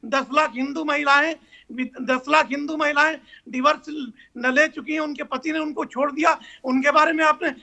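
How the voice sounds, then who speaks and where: A man's voice: extremely high pitch, level tone, moderate pace, creaky, bright, forceful tone. An Indian man, a cleric on a heated televised debate panel, speaking in a combative, challenging way.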